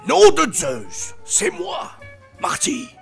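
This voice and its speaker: A man voicing a frantic, eccentric old movie scientist, exclaiming and high-strung. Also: huge pitch swings, very slow, vocal fry, faint music behind, exaggerated voice. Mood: alarmed.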